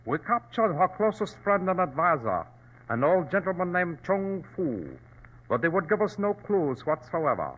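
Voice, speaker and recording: An actor playing a dignified, ruthless provincial governor from China, courtly and formal, in 1940s radio drama style. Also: male voice, high voice, big pitch swings, moderate pace, fairly bright tone, heavily acted. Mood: determined. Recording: thin, narrow-band old radio recording.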